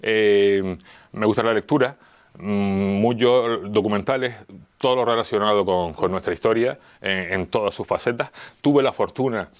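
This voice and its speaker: A man of about fifty with a Canarian accent and a fairly low voice answers interview questions. He pauses to find his words and often repeats a phrase before going on. The sound is slightly dull. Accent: Canarian Spanish. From Las Palmas, Canary Islands. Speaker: interview guest, local police officer and heritage enthusiast. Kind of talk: interview answers, explanatory talk.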